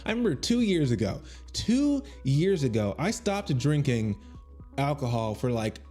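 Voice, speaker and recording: A man in his thirties talks loosely to his livestream viewers, joking at his own expense and drifting from one tangent to the next. His voice is mellow and relaxed, in a small home room, close to a boom mic, with faint music underneath. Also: measured pace. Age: 30s. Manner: rambling, self-deprecating and joking, reacting to chat. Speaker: comedian streaming on Twitch. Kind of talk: casual livestream chat with viewers. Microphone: studio mic on boom arm.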